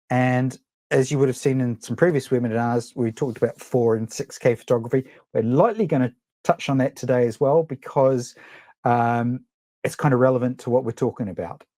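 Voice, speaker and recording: A man's voice: medium pitch, darkish tone, no echo. A middle-aged man with a New Zealand accent, presenting a live photography webinar in a chatty, rambling way, full of ums and restarts. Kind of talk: casual live webinar teaching.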